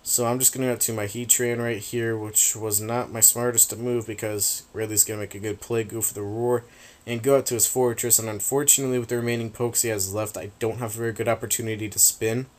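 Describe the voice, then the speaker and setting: A man talks through a video game match in a loose, rambling way, tossing in casual swears. His voice sits a little low. It is turning raspy after a long stretch of talking. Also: level tone, quick pace. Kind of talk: casual gameplay commentary.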